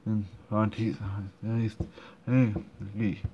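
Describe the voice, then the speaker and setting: A man commenting on a game he is playing, halting and full of fillers and restarts, recorded at home. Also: fairly low pitch, flat delivery, warm tone. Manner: hesitant, many fillers and restarts. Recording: dull muffled recording, faint room noise.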